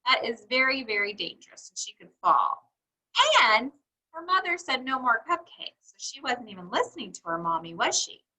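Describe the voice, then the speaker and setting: A woman reading a storybook to young children, encouraging them and pausing for answers to her questions. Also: on the high side, very animated, pushed and bright, rough recording. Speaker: read-aloud teacher for young children.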